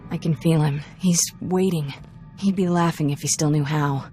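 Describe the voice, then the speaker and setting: An actress playing a fearful, haunted young Jedi in a video game, speaking haltingly and ominously. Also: female voice, low-pitched, steady tone, warm tone, soft background music, lightly acted. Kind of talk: cinematic video game acting.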